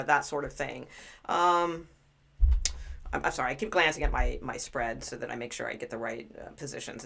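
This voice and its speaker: A woman with a low speaking voice giving a chatty, rambling tarot reading to live viewers, with lots of fillers and restarts. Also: vocal fry, bright, forceful tone. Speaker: tarot reader.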